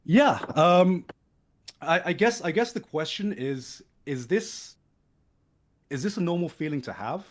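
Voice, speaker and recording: A man's voice: on the high side, lively intonation, unhurried. A young British man from England, talking as a guest in a casual conversation, hesitant and searching for his words with many restarts.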